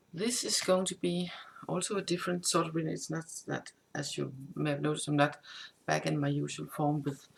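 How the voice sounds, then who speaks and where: A woman whose first language is Danish, a tarot reader who gives a casual spoken update, halting and improvising with many restarts. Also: sits low, creaky, a bit bright, slight background noise.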